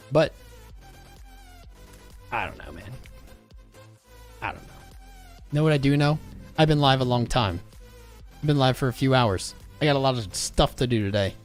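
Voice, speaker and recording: A man hosting a casual crypto livestream, rambling in slang, talking straight to his chat, and his voice is wearing out. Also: medium pitch. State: losing his voice.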